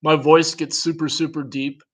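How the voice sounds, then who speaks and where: A man talking in a loose, rambling, casual way, his voice sitting a little higher than most men's. He thinks aloud, reacts with curious little questions and often breaks into a chuckle between remarks. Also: little pitch movement, moderate pace. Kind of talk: casual chat.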